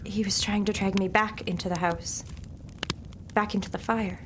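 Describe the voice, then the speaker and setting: An actress playing a shaken, evasive woman under questioning in an audio drama, speaking in halting, short answers. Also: mid-range voice, fairly even intonation, close to an everyday voice. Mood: haunted, reluctant. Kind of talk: naturalistic audio drama acting. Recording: clear background noise.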